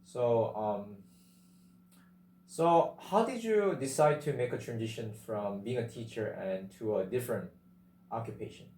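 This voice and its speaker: A man's voice: medium pitch, expressive, measured pace, darkish tone. A man interviewing a guest, asking curious questions and summing up the answers. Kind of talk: casual interview. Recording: roomy with faint background noise.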